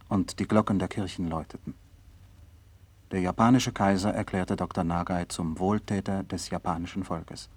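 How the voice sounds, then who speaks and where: An actor in a 1960s radio drama playing a serious, respectful doctor, with a low husky voice and a measured delivery. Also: male voice, a little mellow, no echo. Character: concerned, respectful male doctor and visitor, also recounting events solemnly.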